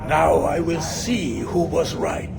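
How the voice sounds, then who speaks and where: An actor playing an irritable, scornful and belligerent conspirator, contemptuous and theatrical, in a video game cutscene. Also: male voice, on the high side, fairly even intonation, slow pace, vocal fry. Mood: dying. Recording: game music behind voice.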